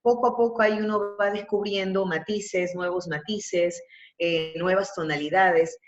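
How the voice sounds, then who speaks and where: A woman's voice: medium pitch, steady tone, measured pace. A woman with an Ecuadorian accent coaching voice and breathing in an online webinar, explaining with emphasis. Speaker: university professor and voice coach. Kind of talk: teaching breathing and vocal exercises in a webinar. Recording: muffled, echoey webinar audio.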